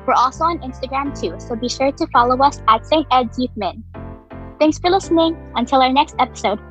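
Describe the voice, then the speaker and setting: A woman's voice: sits high, brisk, a bit bright, faint music behind, low-quality recording. A nineteen-year-old woman, a youth ministry leader, talking in an upbeat way on a podcast.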